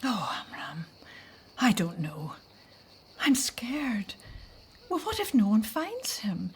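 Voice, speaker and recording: An anxious, slow-speaking young mother. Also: mid-range voice, huge pitch swings, husky, full character voice. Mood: frightened, pleading. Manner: hesitant, anxious, often hushed. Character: frightened Hebrew mother, wife pleading with husband at night. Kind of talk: scripted audio drama.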